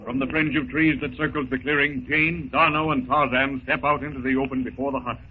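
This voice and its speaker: A man's voice: mid-range voice, little pitch movement, fairly quick, husky, lightly acted. A man performing as the narrator of a 1930s jungle adventure serial, grave and scripted. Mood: dramatic, suspenseful. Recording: tinny, hissy 1930s radio recording.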